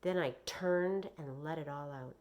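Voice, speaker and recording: A woman reading aloud like a teacher to children, expressive and deliberate in her delivery. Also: low voice. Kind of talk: read-aloud with explanations and questions for children.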